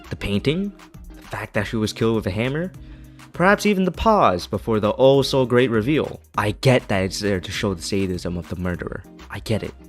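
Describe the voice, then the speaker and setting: Low voiced guy doing an opinionated video essay voiceover, music playing behind him. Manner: opinionated, critical. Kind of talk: scripted video essay narration.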